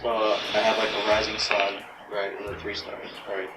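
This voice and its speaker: A man's voice: fairly low pitch, monotone, normal speed. A man speaking casually in a personal interview, rambling with frequent "right?" and fillers. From United States. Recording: distant mic in noisy echoey room.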